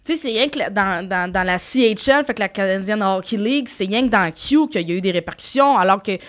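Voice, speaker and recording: A woman's voice: mid-range voice, lively intonation, fast talker. A young woman, a comedian guest chatting casually, slangy and mixing in English words. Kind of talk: casual chat.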